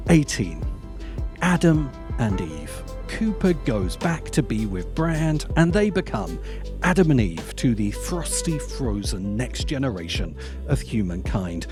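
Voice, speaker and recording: A man narrating a scripted video essay in a measured way, with emphatic pauses and wry asides. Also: mid-range voice, a little mellow.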